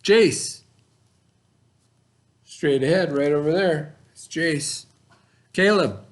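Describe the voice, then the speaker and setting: Man, elementary teacher, slightly high voice, informal, rambling, simple words for kids. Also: slow. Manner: rambling, simple talk addressed to young pupils. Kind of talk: informal video lesson and children's read-aloud.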